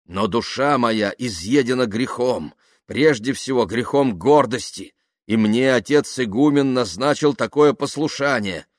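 A man voicing a humble, wounded monastery novice in a story, with a measured manner that swells into indignant protest. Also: medium pitch, dry recording, clean studio sound, pitched above the performer's own voice, lightly acted. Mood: solemn suffering.

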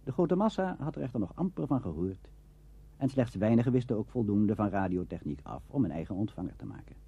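Low husky narrator, dark and lively. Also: male voice. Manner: formal, measured storytelling. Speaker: documentary narrator. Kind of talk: scripted narration. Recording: dull lo-fi archive tape.

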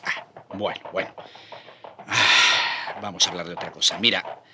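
An actor playing an aging, passionate Spanish friar in a radio drama, husky and emphatic. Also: male voice, deep voice, steady tone, unhurried, bright, forceful tone, a little hiss or hum, heavily acted. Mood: wistful. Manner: impassioned, emphatic.

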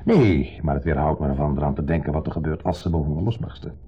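An actor playing a wistful, provocative ex-husband visiting as a guest in a 1970s radio drama, with a wry, probing manner. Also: male voice, a bass voice, fast, slightly rough. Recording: muffled 1970s radio recording.

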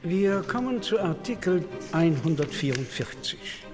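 An actor playing a formal, dryly strict parliament president, measured and procedural in a 1949 drama. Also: medium pitch, big pitch swings, over music. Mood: dryly official. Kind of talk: dramatised reading of parliamentary protocol. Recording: reverberant assembly hall.

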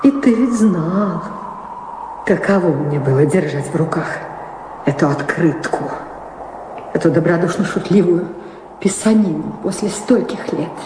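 A woman's voice: fairly low pitch, expressive, a little slow, long pauses. An actress in an audio drama playing a bitter, proud middle-aged married woman, a wounded former lover, accusing with emphatic, dramatic phrasing. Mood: reproachful. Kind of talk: theatrical audio drama dialogue. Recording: echoey hall reverb, clear background noise.

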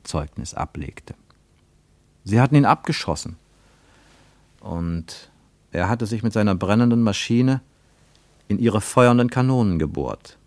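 Male narrator for a literary radio play: fairly deep, measured storytelling, dry studio sound. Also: no echo.

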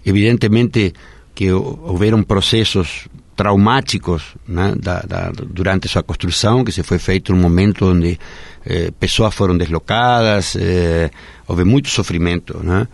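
Man, low and dry. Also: measured pace, creaky, no room sound. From Argentina. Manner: earnest, speaks in long winding sentences. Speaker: radio interview guest, park director. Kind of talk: radio interview.

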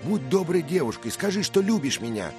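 A man's voice: on the high side, big pitch swings, fairly quick, music in the background, pitched above the performer's own voice. A man voicing an earnest, brave young squire who speaks with passion in an audiobook. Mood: pleading. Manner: impassioned, emphatic.

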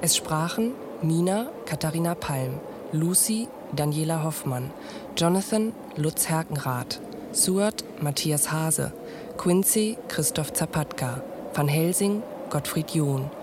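A woman's voice: low-pitched, lively intonation, takes it slowly. A woman announcing the cast and credits of a radio play in a scripted style. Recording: clearly noisy radio broadcast ambience.

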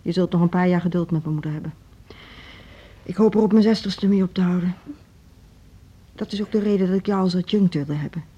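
An actress playing a measured, firm school director in her fifties in a radio drama, deliberate and diplomatic. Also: fairly low pitch, mellow, slightly rough, lo-fi sound. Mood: hurt, weary. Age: 50s. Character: measured, firm middle-aged female music school director. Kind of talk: Dutch radio drama acting.

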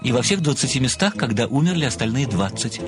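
Actor as a meticulous, deferential amateur sleuth. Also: male voice, medium pitch, sing-song, fast, lightly acted. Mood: deferential, insistent. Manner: formal, deferential, precise, declamatory when enthused. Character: earnest, meticulous pensioner, amateur detective and devoted admirer. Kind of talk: theatrical audio drama dialogue.

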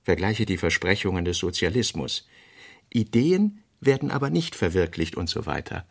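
A man with a gravelly, creaky voice reads literary notes for a radio production. The sound is dry and close, with no room around it. His delivery is deliberate and clipped, setting out fragments and theses one by one. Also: deep voice, expressive, no room sound. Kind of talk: scripted literary radio reading, essayistic and fragmentary.

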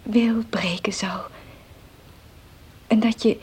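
An actress playing a gentle, devout, ailing wife in a period radio drama, speaking softly and solemnly with a frail, breathy voice. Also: female voice, mid-range voice, fairly even intonation. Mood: fervent, devout. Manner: slow, soft, tender, solemn.

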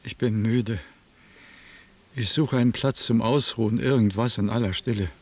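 Actor as a weary, husky, lonely man. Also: mid-range voice, normal speed, a little mellow, natural voice. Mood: weary longing. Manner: pleading, musing, fragmented phrases. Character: weary, broke, lonely man pleading for an apartment. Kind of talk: radio drama acting.

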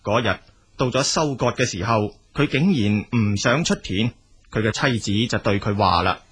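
A man with a Cantonese accent narrating a scripted folk tale as a storyteller, in a husky voice. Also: fairly low pitch, normal speed, a bit bright.

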